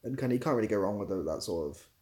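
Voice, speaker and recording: A man's voice: on the low side, lively intonation, rapid-fire, a little mellow. A man chatting casually on a livestream, reading out questions and answering them in a rambling, self-deprecating way. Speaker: live streamer answering chat questions.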